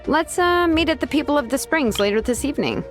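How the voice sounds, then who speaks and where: A woman's voice: high voice, lively intonation, fairly quick. A woman playing a laid-back, confident young blacksmith in a video game, speaking in an easygoing, casual way. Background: music.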